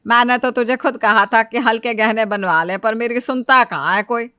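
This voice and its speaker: A woman's voice: fairly high pitch, moderate pace, sharp tone, smooth, dry recording, clean studio sound. A woman voicing an older, fussy, thrifty mother-in-law in a cartoon story, emphatic and matronly. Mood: fussy, doting. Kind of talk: Hindi cartoon story dialogue.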